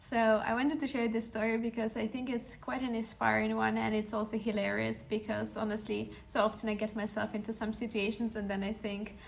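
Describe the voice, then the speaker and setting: A woman's voice: a little high, little pitch movement, brisk, vocal fry, few pauses. A woman in her twenties, a podcast host telling a personal story in an earnest, encouraging way with frequent restarts. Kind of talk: reflective personal storytelling monologue.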